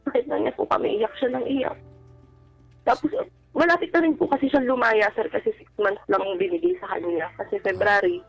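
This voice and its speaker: A woman with a Filipino accent giving a personal account on a radio advice show, talking casually and politely in a halting, deferential way. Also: on the high side, vocal fry. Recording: dull band-limited radio sound, faint music. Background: background music.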